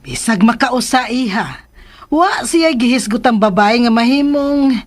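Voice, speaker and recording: A woman with a Cebuano accent playing a kindly, chatty middle-aged mother in a radio drama, expressive and drawing out her words. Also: fairly high pitch, measured pace. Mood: amused, chatty. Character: kindly, chatty mother, an older well-off aunt figure. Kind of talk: Filipino radio drama acting. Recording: music under the voice.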